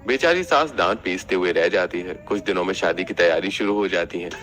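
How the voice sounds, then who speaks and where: A man's voice: low-pitched, a little fast, a bit bright. A man reading scripted narration for a cartoon family story.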